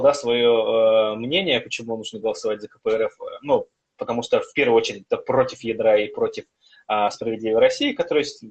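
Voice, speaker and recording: A man's voice: medium pitch, muffled recording. A man hosting a live-streamed interview, asking questions casually and hesitantly with many fillers. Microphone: phone on a live stream.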